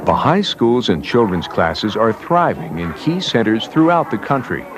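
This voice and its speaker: Male newsreel narrator, scripted documentary read, animated intonation, music underneath. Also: on the low side, normal speed. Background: background music.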